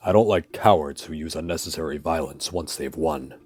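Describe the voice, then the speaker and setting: A man's voice: very deep voice, a little fast. A man playing a stern, protective army captain with a curt, authoritative manner in an audio drama. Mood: cold, threatening.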